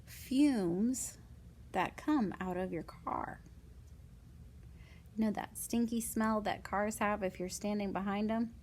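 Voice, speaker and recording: A woman with a medium-pitched voice reads children's non-fiction aloud. She breaks up the reading with casual asides and simple questions aimed at young listeners. There is some noise behind her voice. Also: slow, pauses often, a little mellow. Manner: simple child-directed explanations and rhetorical questions.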